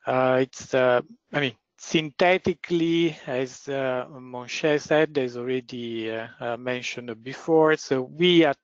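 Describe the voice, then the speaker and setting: A man speaks into a computer mic on an online call, and the audio comes through dull and dry. His voice is loud and mid-pitched. He lectures haltingly, filling every gap with "uh" and starting sentences over. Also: smooth, no echo. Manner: hesitant, many fillers and restarts. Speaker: guest lecturer, process engineer. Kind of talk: webinar presentation.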